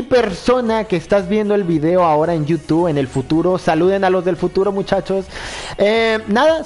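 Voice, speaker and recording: Chatty Mexican streamer with playful squeals. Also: male voice, a little mellow. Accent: Mexican Spanish. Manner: playful, chatty, laughs often, jumps into high squeals. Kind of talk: live-stream gameplay chat reading comments.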